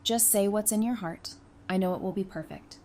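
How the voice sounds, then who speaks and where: A woman's voice: mid-range voice, normal speed, a little mellow, natural voice. A woman playing a tender, devoted bride in an audio drama, speaking earnestly and emotionally. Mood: reassuring. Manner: earnest, emphatic, emotional delivery. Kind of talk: fan audio drama acting. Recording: faint background noise and ambience.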